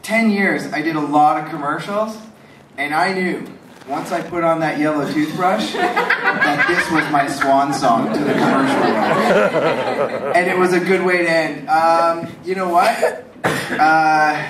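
Man, panel moderator, fairly high voice, echoey room, far from the mic. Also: lively intonation. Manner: quick, joking. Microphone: handheld mic, far camera. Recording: echoey hall, distant camera, loud noise.

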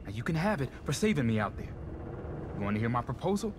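A nervous male hacker, voiced by a man who wheedles and bargains, in an ordinary mid-range voice. A clear hiss of background noise runs under the lines. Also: medium pitch, big pitch swings, fast talker, natural voice. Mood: wheedling. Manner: wheedling, persuasive. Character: nervous, fast-talking male hacker in his thirties. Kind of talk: video game cutscene acting.

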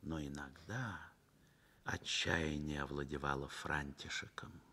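A man narrating a Soviet radio drama in a measured, dramatic storytelling manner. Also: very deep voice, fairly even intonation, sharp tone, breathy edge.

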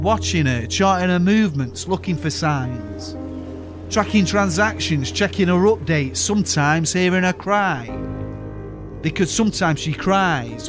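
A man with a Yorkshire accent recites rhyming spoken word verse in a steady, weary, detached cadence. His voice sits a little higher than most men's. A clear music bed runs underneath the studio voice-over. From South Yorkshire, England.

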